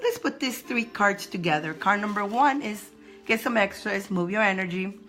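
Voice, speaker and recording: An upbeat woman hosting a live card-reading stream in a chatty, encouraging way, greeting viewers by name and laughing often. She talks at an ordinary middle pitch and repeats her phrases for emphasis. Soft music plays faintly behind her.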